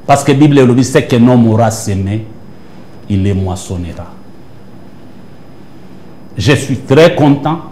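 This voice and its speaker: A man's voice: mid-range voice, animated, a little slow, long pauses, mellow. A man, a church prophet answering interview questions with an emphatic, preaching cadence. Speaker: prophet and church leader, guest.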